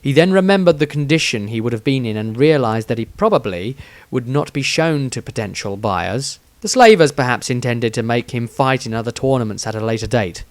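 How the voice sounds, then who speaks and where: A man reading his own fantasy story as scripted narration, with dramatic delivery and deliberate pauses. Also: mid-range voice, animated, no room sound.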